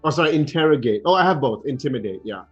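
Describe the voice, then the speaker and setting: A man playing a tabletop game, chatty and quick to correct himself. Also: medium pitch, expressive, breathy edge, dull audio, soft background music. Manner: chatty, self-correcting, laughs. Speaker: player. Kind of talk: casual tabletop play.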